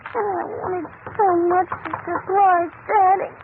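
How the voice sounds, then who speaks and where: On a noisy, narrow old radio recording, a woman plays a small child with a very high voice. The child is earnest and worried, in the plaintive style of 1940s radio drama. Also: steady tone, a little slow, creaky, heavily acted. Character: earnest, worried young child from a poor home.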